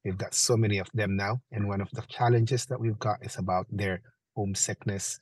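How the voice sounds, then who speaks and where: Man in his 40s, from the Philippines, husky, somewhat low voice, eager, fillers. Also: fairly even intonation, fairly quick, darkish tone, dry recording, poor audio. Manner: eager, with filler phrases like "kind of, like". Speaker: guest, chief nurse. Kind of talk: online panel talk.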